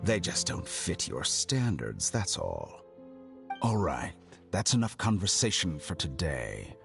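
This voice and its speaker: Video game villain, arrogant scholar, low voice, animated pitch, condescending, mock-polite, music underneath. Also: male voice, takes it slowly, vocal fry, full character voice. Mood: cool, condescending. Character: arrogant, calculating male scholar villain, coolly amused.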